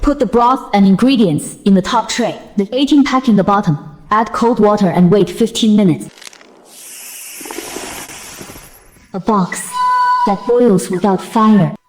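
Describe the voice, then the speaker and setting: A woman's voice: mid-range voice, normal speed, a little mellow, slight background noise, close to an everyday voice, low-quality recording. A woman voicing a blunt, weary young female army general in an anime dub, bossy and sardonic. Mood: brisk, instructive. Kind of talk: dubbed motion-comic anime narration and dialogue.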